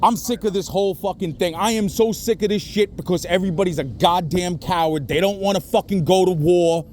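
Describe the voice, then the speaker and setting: A middle-aged man with a slightly high-pitched voice rambles in agitation over the phone. He swears heavily as he vents, with faint vehicle noise behind him. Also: brisk, a little mellow. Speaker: caller reporting to police. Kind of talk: venting on a phone call. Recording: noisy phone call, traffic sounds behind.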